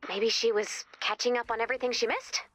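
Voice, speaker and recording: A woman playing an eager, curious young researcher who guides players in a video game, chatty and expressive as she thinks aloud. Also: a little high, fairly quick, dry recording. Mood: wary. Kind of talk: scripted video game dialogue.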